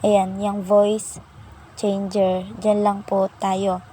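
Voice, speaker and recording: A woman's voice: medium pitch, completely flat delivery, very slow, mellow, slight background noise, rough recording. A woman hosting an app tutorial, politely and patiently walking viewers through each step. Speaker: tutorial channel host.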